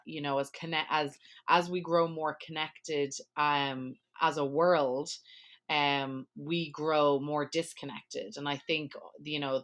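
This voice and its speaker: A woman's voice: deep voice, fairly bright tone. A woman hosting a podcast and working as a memorial planner, chatty and self-deprecating as she talks with her guest. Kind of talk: podcast interview.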